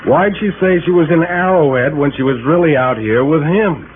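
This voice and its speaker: A man's voice: fairly high pitch, normal speed, natural voice. An actor playing a confident small-town lawman in a 1950s radio drama, plain-spoken and insistent, with some hesitation. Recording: narrow, noisy old radio broadcast.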